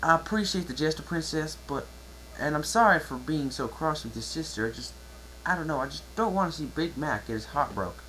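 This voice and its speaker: A protective, short-fused country pony from a cartoon tale , put on by a reader. She speaks in a folksy drawl, raised in pitch, with lively swings. A faint hum sits behind her. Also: fairly quick, few pauses, close to an everyday voice, poor audio. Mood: apologetic, worried. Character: blunt, protective female farm pony, quick-tempered. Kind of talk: cartoon fan-fiction dramatic reading.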